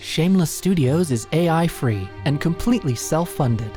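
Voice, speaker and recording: A woman's voice: deep voice, lively intonation, normal speed, mellow, soft background music. A woman, the creator of the show, reading a scripted outro with credits.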